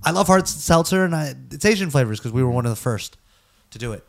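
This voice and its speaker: A young man from California co-hosting a podcast, chatty and quick to laugh. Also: mid-range voice, animated, slight background noise. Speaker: podcast co-host, drink brand founder. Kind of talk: casual podcast chat.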